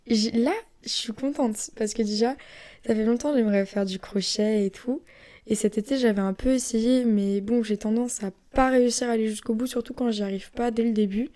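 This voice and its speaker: A young woman from France chatting casually and rambling on her own podcast, often dropping into soft whispery asides. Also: a little high, fairly even intonation, fairly quick. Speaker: podcast host. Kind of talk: casual solo podcast chat.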